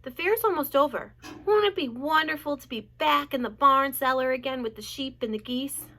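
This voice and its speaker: A woman voicing a young male pig in a storybook reading, high and frantic as he pleads. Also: normal speed, bright, forceful tone. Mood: eager.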